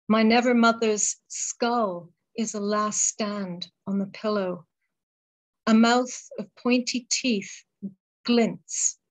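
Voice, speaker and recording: A woman poet reading her poems aloud and talking between them, in a measured, deliberate way with pauses between phrases. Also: medium pitch, poor audio. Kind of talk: poetry reading.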